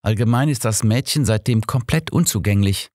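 A man's voice: fairly low pitch, normal speed, a little mellow, dry recording, studio quality, voice pushed up, lightly acted. A man voicing an earnest, sorrowful businessman in an audiobook, polite and explanatory in manner. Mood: sad. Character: earnest, sorrowful businessman from abroad, protesting innocence.

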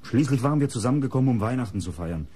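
An actor playing a guarded murder suspect under interrogation in a German radio crime play, answering tersely and evasively. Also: male voice, mid-range voice, animated, rapid-fire, dark tone, husky. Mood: uneasy, defensive.

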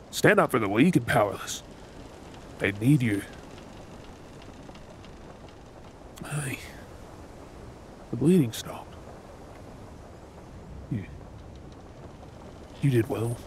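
A man playing a gruff, wounded, bitter yet tender pirate captain in a role-play drama, sardonic and brooding with dramatic pauses. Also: medium pitch, a little mellow, slight background noise. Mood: softened, earnest. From Australia.

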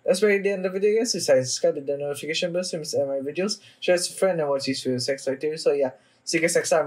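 Young adult man, husky mid-pitched voice, informal halting reaction-host chat with swearing. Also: darkish tone. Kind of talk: casual anime reaction chat. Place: small indoor room at home.

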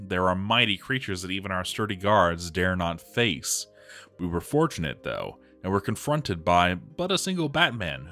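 Brief: man performing a composed fantasy caravan official, low-voiced, formal journal narration with music behind. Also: a bit bright.

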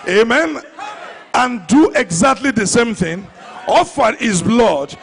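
A man's voice: extremely high pitch, lively intonation, takes it slowly, vocal fry. A middle-aged man with a Nigerian accent preaching an impassioned, emphatic sermon into a handheld microphone, repeating phrases for effect. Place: large church hall before a congregation. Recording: live church PA with faint crowd.

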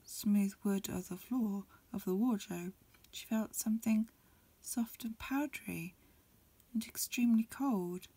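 A woman reading a storybook aloud as ASMR in a breathy, mostly whispered voice, a little hesitant, with small stumbles. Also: mid-range voice, measured pace, mellow, dry recording. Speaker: amateur reader. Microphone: close recording, lo-fi home setup.

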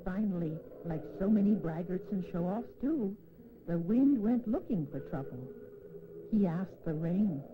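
A woman's voice: lowish voice, animated, a little slow. Woman storyteller on noisy old film. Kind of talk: scripted dramatic fable narration for children. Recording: noisy old 16mm educational film soundtrack.

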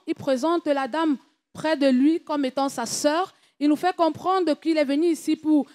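A woman with a high voice works as an interpreter in church. She relays each statement in a steady, measured way. The recording is dry and close. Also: dry recording. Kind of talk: French interpretation of testimony.

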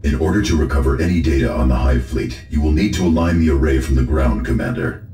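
An actor playing a grave male tech adviser in a sci-fi game, with a very deep gravelly voice and formal, deliberate delivery. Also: animated, dark tone. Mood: grave, factual. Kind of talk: video game character acting. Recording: roomy echo with music behind.

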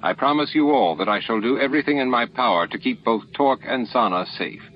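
A man's voice: medium pitch, lively intonation, fairly quick, a little hiss or hum, pitched above the performer's own voice, natural voice. Man reading as a composed starship captain, slightly dull sound.

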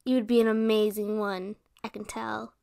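A woman's voice: mid-range voice, level tone, normal speed, vocal fry, darkish tone, clear, resonant tone, natural voice. A woman playing a protective, playful resurrected witch in an ASMR roleplay, speaking in a sweet, theatrical way. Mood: reassuring.

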